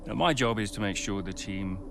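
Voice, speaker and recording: A man's voice: fairly low pitch, a little fast, gravelly, noisy background. An actor playing a firm, blunt racing team boss questioning his driver in a naturalistic game cutscene. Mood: probing. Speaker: team principal.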